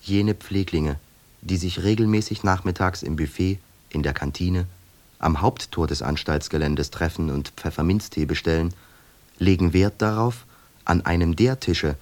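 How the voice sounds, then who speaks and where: An actor playing an asylum inmate who narrates a radio play in a measured, obsessive, self-correcting way. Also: male voice, low voice, a little mellow, natural voice.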